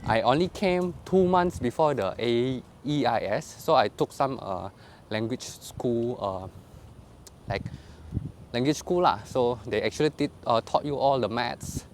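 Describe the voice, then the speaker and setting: A man's voice: mid-range voice, a little slow, background noise. A young man, chatting to the camera as a vlogger while walking in a park, dropping lah and ah into loose, chatty sentences. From Indonesia. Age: 20s. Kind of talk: casual chat to camera. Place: outdoor park path at night.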